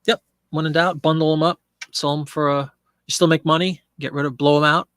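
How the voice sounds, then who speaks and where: A man's voice: a little high, flat delivery, fairly quick, fairly bright tone, dry recording, clean studio sound. A middle-aged man with a head cold, sounding congested, chatting casually on a live stream and answering viewers as he lists items for sale. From Pennsylvania. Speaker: live-stream eBay seller.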